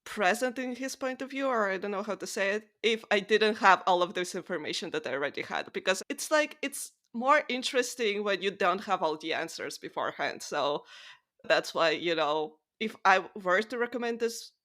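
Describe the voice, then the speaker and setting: A woman's voice: mid-range voice, animated, moderate pace, a bit bright. A woman co-hosting a podcast, talking through books in a casual, opinionated way. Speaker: podcast co-host. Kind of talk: casual book discussion.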